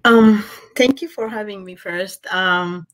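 A middle-aged woman with an Ethiopian accent tells a personal story on a video call. Her voice sits at a medium pitch. She speaks conversationally, as a guest being interviewed. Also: takes it slowly, rough recording. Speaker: interview guest, restaurant owner. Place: indoor room at home, on a video call. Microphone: computer mic on a video call.

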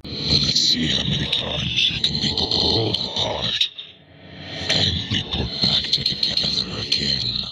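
Menacing undead robot rabbit, extremely low, gravelly, drawn-out, horror game monster. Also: male voice, steady tone, heavily acted, poor audio. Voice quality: deep, gravelly. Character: menacing undead animatronic rabbit phantom, sadistic. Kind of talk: villainous horror game character lines. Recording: loud noise under the voice.

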